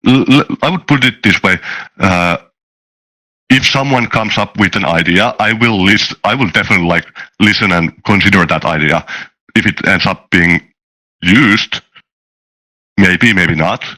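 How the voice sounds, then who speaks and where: A man's voice: deep voice, unhurried, long pauses, bright, forceful tone, rough recording. A man in a casual panel discussion, speaking in a hesitant, stop-start way and repeating words. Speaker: game designer with a software background.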